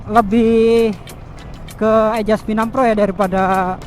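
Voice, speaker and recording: High-pitched man chatting over intercom. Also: level tone, unhurried. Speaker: motovlogger reviewing gear. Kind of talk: casual chat review over intercom. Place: outdoors, riding a motorbike on the road. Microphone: helmet intercom headset. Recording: intercom headset with background music.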